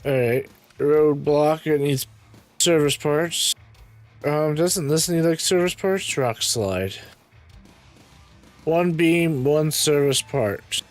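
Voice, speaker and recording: Male streamer, slightly high and raspy, low-key play-by-play muttering, faint music under him. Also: slow, long pauses. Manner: muttering to himself while playing, frequent ums and throat-clearing. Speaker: game streamer. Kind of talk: casual gameplay commentary.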